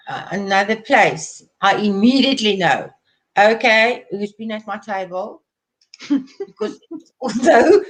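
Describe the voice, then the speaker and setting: Woman guest, Afrikaans native with matching accent, high-ish voice, unhurried, chatty and self-deprecating. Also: low-quality recording. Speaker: crafter, interview guest. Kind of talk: casual live-stream interview.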